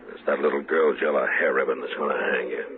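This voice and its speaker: Stern US Marshal, deep voice, terse, grim, radio western, tinny noisy old recording. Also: male voice, level tone, quick pace. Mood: cold resolve. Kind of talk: 1950s radio western acting.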